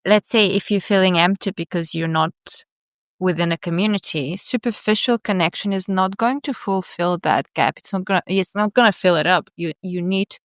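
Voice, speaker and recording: A woman's voice: lowish voice, moderate pace, no room sound, clean studio sound. A woman hosting a podcast interview, talking reflectively and often checking in with tag questions. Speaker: podcast host. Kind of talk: conversational interview.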